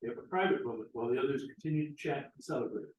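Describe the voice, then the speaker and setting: A man's voice: medium pitch, rapid-fire, a little hiss or hum. Guy reading out stage directions for a play, far off mic, roomy. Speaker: stage directions reader at a play table read. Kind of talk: scripted narration. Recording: echoey room, distant mic.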